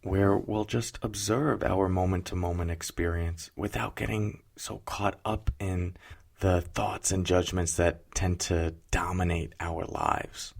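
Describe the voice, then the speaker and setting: A man with a notably deep voice leads a guided meditation. His delivery is soothing and unhurried, with gentle pauses, and a pronounced vocal fry runs through his speech.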